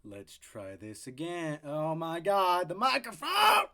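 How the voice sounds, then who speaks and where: A man doing amateur voice acting, chatting casually and excitably between takes, poking fun at himself. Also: on the high side, slow pace, vocal fry. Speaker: amateur voice actor.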